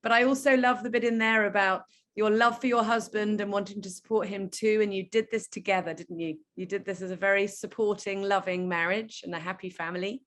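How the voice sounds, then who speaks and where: A woman hosts an online event over a video call. She heaps praise on the speaker, and her voice often drops away softly at the ends of sentences. She talks at an ordinary pitch. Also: steady tone, a little fast. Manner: effusive, warmly praising, trails off softly. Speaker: event moderator. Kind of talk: enthusiastic video call hosting.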